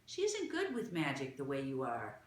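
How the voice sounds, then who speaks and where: A woman voicing an eager, excitable young girl who talks high and quick. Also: a little hiss or hum, heavily acted. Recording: roomy echo.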